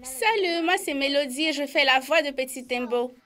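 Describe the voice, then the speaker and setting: A woman's voice: high voice, normal speed, pushed and bright. A woman voice actress speaking to young viewers at a studio microphone, introducing herself. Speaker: cartoon voice actress. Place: recording studio.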